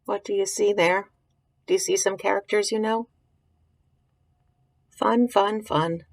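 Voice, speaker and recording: A woman reads a picture book to young children at an unhurried pace, in a mid-pitched voice. She pauses to ask questions and think aloud, explaining things in simple words. The sound is close and dry. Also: steady tone, no echo. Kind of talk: read-aloud with informal commentary to young children.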